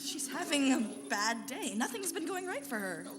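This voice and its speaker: A woman's voice: high voice, sing-song, moderate pace, over music. A woman playing a cute, earnest, childlike young girl in a live pulp sci-fi radio comedy. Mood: sheepish. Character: young, cute, protective girl crew member. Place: live convention stage. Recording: echoey hall, audience noise.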